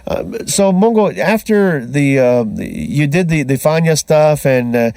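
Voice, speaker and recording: A man's voice: on the high side, lively intonation, unhurried, darkish tone, no room sound. A man hosting a radio interview from a studio, switching between English and Spanish with frequent fillers. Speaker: radio show host.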